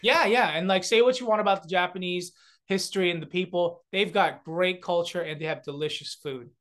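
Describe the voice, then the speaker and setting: Korean comic guest, young guy, high voice, animated storyteller with lots of restarts. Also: fairly quick. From South Korea. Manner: animated, many restarts and fillers, acts out voices. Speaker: guest, comedian and beatboxer. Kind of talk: casual chat.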